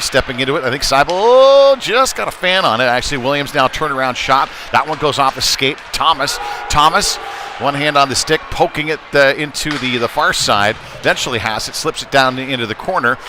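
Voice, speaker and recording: A man calling a live youth hockey game rinkside in a conversational, hesitant way that lifts with the action. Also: medium pitch. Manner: conversational, hesitant, rising excitedly on shots. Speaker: play-by-play hockey commentator. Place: rinkside at an indoor hockey arena. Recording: noisy rink ambience behind voice.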